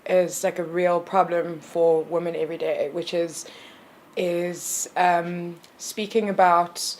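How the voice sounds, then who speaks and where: A woman's voice: fairly low pitch, fairly even intonation, slow pace, a little mellow, slight background noise. A woman in her thirties from South Africa, talking frankly in a casual panel discussion with a lot of fillers. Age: 30s. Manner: frank, with a lot of ums and likes. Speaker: panel guest.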